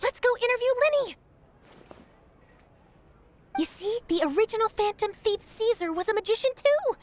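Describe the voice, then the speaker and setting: A woman voicing an eager, dramatic young female news reporter in a video game, excitable and theatrical in manner. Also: very high voice, normal speed. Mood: excited, determined. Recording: music behind the voice.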